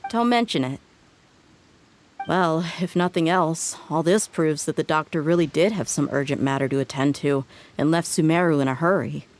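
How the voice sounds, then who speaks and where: A woman's voice: deep voice, normal speed, slight background noise, natural voice. A woman playing a tough, plainspoken young female mercenary with a casual, assured manner in a video game. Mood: easygoing, wry. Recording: faint game ambience behind.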